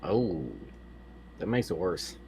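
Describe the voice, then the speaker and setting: A man's voice: low voice, animated, slow pace, a little mellow, a little hiss or hum. A man talking casually as a podcast panelist.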